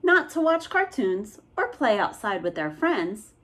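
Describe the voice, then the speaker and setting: A woman reading a children's picture book aloud as an expressive bedtime story. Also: mid-range voice, a little slow.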